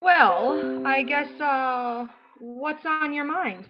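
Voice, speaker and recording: A woman playing a cool, evasive detective contestant in improv comedy, speaking in a slow, measured, musing way. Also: high voice, lo-fi sound, music in the background. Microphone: home video call.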